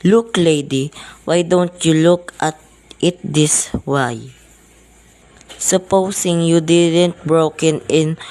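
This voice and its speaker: Student doing a tired cop on the phone, slow and deliberate, brushing people off, amateur radio play. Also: mid-range voice, a little mellow, close to an everyday voice. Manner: deliberate, patronizing. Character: weary, dismissive, placating police sergeant on the phone.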